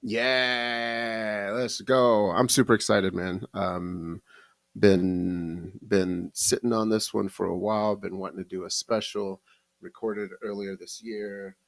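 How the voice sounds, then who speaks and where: A man co-hosting a comedy podcast, relaxed and quick with short affirmations. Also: fairly low pitch, creaky, no room sound. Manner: laid-back, quick affirmations. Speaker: podcast co-host. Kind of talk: casual podcast chat.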